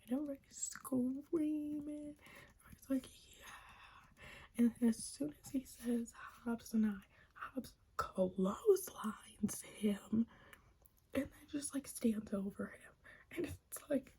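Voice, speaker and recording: A woman's voice: sits high, slow pace, breathy edge. A young woman whispering a chatty recap of a wrestling show, gushing like a fan and giggling often. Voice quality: soft whisper, frequent giggles.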